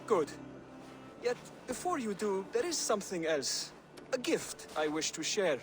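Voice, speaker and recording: An actor playing an earnest, devout assassin scholar in a video game, speaking in a formal, measured and solemn way. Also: male voice, high voice, big pitch swings. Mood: courteous, purposeful. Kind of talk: scripted dramatic game dialogue.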